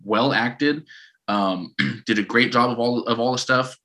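A man in his thirties co-hosting a casual podcast, telling stories in an animated, rambling way and often restarting his sentences. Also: mid-range voice, measured pace. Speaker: podcast co-host.

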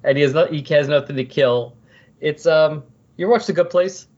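Slightly husky man hosting a podcast. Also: fairly high pitch, moderate pace, a little mellow, highs cut off. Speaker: podcast host. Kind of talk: casual comic book discussion.

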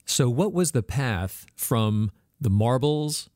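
Man asking clear interview questions. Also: mid-range voice, expressive, slow, darkish tone.

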